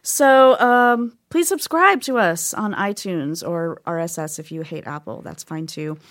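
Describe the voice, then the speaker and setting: Upbeat female podcast host, a touch low-pitched, talks things through in detail. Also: unhurried, a bit bright. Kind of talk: casual chat. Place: recording studio.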